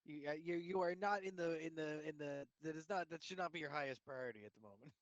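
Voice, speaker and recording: A man with a fairly high voice keeps another player company as co-host. He sounds casual, playful and a little hesitant, in a dry close recording. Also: fast talker, no echo, muffled recording. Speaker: gaming co-host. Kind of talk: casual game commentary.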